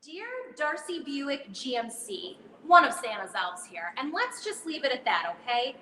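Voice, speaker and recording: A woman's voice: sits high, very animated, unhurried, pushed and bright, full character voice. A woman playing a chummy, conspiratorial little elf of Santa's in a scripted radio advertisement, confiding and playful. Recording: roomy sound with faint background noise.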